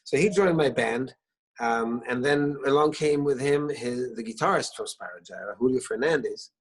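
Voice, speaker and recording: A man's voice: mid-range voice, normal speed, breathy edge, poor audio. A middle-aged man born in Israel whose first language is Hebrew, talking at home over a video call, with a lot of restarts and fillers as he tells stories. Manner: hesitant, many restarts and fillers, warm storytelling. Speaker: singer-songwriter guest. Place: his living room at home.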